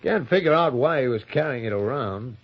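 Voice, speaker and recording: An actor playing a plain-spoken, inquisitive police sergeant with a halting, folksy manner, in 1950s radio drama style. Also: male voice, medium pitch, animated, breathy edge. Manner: halting, informal, folksy questioning. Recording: muffled old radio recording.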